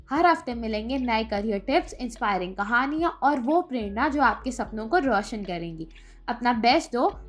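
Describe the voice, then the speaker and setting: A woman radio host presenting a scripted career guide, speaking directly to listeners as friends in a motivational way. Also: sits high.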